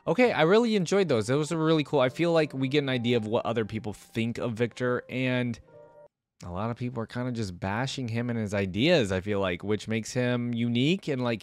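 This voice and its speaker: A young man giving casual, rambling reaction commentary as host, close to a desk microphone. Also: mid-range voice. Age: young adult. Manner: rambling, informal. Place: indoor room at a desk. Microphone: close desk microphone, headset worn.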